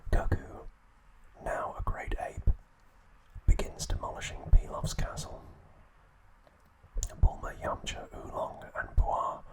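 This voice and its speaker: Man whispering softly in ASMR style. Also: slow, poor audio. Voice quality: soft unvoiced whisper. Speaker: ASMR host. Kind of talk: whispered ASMR narration of a story recap.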